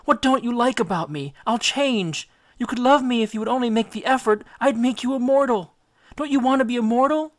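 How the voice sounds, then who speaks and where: Man putting on a high, pleading lovesick nymph woman. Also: fairly quick, no room sound. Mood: desperate pleading.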